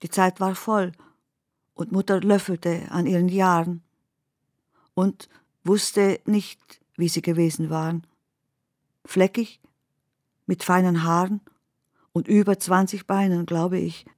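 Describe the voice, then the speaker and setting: A woman reciting poetry in a measured, deliberate way, as in a radio drama. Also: fairly low pitch, fairly even intonation, a little mellow, dry recording, studio quality.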